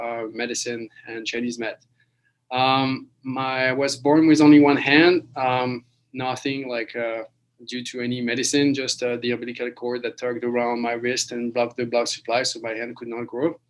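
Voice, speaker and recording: A man's voice: medium pitch, low-quality recording. A man from France, giving a webinar lecture over a video call, with many fillers and restarts. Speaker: guest lecturer.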